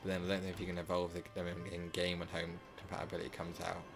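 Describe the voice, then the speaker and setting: A deep-voiced man talks through a live gameplay stream, a little hoarse from a sore throat. He rambles loosely, restarting sentences and leaning on filler words. Faint game music plays behind him. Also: monotone, fast talker. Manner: rambling, self-correcting, lots of filler words. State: sore throat, slightly losing voice. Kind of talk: casual live gameplay commentary.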